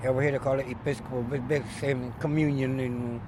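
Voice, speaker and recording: A middle-aged man from Barbados, a former barber, telling stories in an animated, emphatic way during a casual outdoor interview by a street. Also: mid-range voice, a little fast. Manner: animated storyteller, loud emphatic bursts. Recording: faint street noise outdoors.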